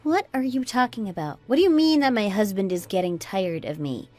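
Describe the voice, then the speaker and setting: A woman's voice: mid-range voice, animated, a little fast, a little mellow, faint music behind, close to an everyday voice. A woman playing a fed-up young daughter-in-law and mother in an audio drama, arguing back with pointed sarcasm. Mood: taken aback. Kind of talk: scripted radio drama dialogue.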